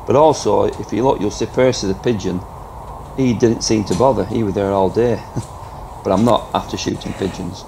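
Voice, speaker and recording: Gravelly man, low-key. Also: normal speed. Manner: low-key, chatty, fillers like "you know". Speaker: airgun pest controller. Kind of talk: casual talk to camera. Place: farm building loft, indoors. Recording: noisy outdoor-farm ambience.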